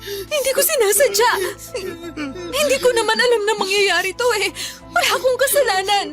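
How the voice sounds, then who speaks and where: A woman's voice: extremely high pitch, normal speed, loud music behind the voice. A woman playing a panicked, defensive Filipino mother, distraught and pleading in a radio drama.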